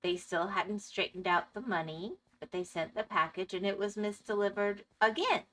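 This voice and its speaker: Woman game streamer, bright tone gone a bit hoarse from losing her voice, chatty with viewers. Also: medium pitch, normal speed, low-quality recording. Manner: chatty, reads and answers chat. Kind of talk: casual live-stream chat.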